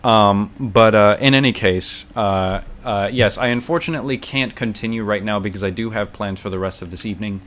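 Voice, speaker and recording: A man's voice: fairly low pitch, fairly quick, hardly any pauses, fairly bright tone. A young man giving casual gameplay commentary, rambling thoughtfully and often correcting himself mid-sentence. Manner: rambling, self-correcting, bookish. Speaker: streamer.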